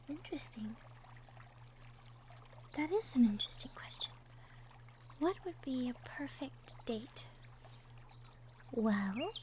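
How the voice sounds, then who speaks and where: A woman playing a gentle, cheerful young cottagecore woman from the woods in an intimate roleplay audio, soft-spoken, giggly and sweet. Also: high-pitched, a little hiss or hum, heavily acted. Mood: thoughtful, soft. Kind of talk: roleplay audio drama, intimate one-sided conversation.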